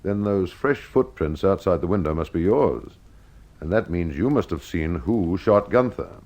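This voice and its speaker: A man's voice: low voice, animated, a little slow, a little mellow. A man voicing a stern, grimly dutiful police inspector, curt and grave, for an old-time radio mystery. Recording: dull lo-fi old radio sound.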